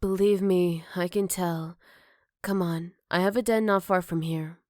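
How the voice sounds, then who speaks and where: A woman playing a gentle, caring motherly werewolf in an ASMR roleplay, soft-spoken with hesitant pauses and tender teasing. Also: lowish voice, fairly even intonation, dry recording, clean studio sound, lightly acted. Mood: concerned, calm. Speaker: voice actor. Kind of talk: ASMR roleplay addressed to the listener.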